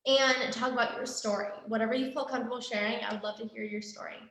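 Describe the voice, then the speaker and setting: A woman's voice: fairly high pitch, fairly even intonation, fast, a bit bright. A woman hosting a live online interview show, introducing her guest with effusive enthusiasm over a video call. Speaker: interview host. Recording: very echoey room.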